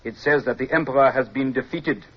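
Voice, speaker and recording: An actor playing a courteous, formal army officer in a radio drama, speaking in a measured and deliberate way. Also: male voice, mid-range voice, husky, natural voice. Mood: grave. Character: courteous French army officer guarding a prisoner. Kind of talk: mid-century radio drama acting. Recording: muffled, noisy old radio recording.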